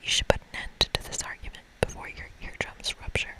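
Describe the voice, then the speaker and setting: A woman whispering softly as an ASMR host, reading the narration of a video game aloud. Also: brisk. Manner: soft, breathy whispering.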